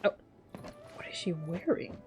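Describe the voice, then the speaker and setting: A woman's voice: a little slow, warm tone. A young woman giving casual, chatty, slangy reaction commentary as she plays a video game. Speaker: gaming streamer. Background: game theme music.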